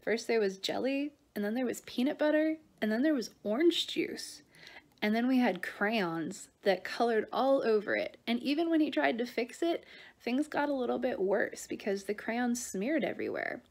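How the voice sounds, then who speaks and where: A woman's voice: on the high side, expressive, normal speed. A woman in her thirties speaking to children as a storytime reader, recorded at arm's length in a living room. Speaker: storytime reader, prevention services worker. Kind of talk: gentle address to children and picture-book reading. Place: small living room, on a couch. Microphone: phone or camera at arm's length.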